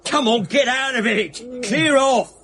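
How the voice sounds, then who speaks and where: An actor playing a rough, wheedling, combative old soldier begging on the street in a radio drama. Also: male voice, very high voice, unhurried, bright, forceful tone, heavily acted. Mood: aggressive.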